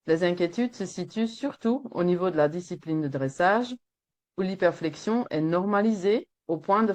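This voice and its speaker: A woman with a Flemish accent reads her prepared webinar talk in French, her second language, at a slightly low pitch. She sounds earnest and steady, a veterinarian speaking over a video call. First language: Flemish Dutch. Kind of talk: reading a prepared presentation.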